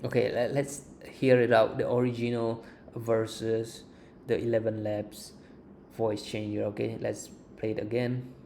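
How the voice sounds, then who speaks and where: A man presenting an informal software walkthrough as a tutorial host. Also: fairly low pitch, flat delivery, normal speed, creaky. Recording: faint background noise.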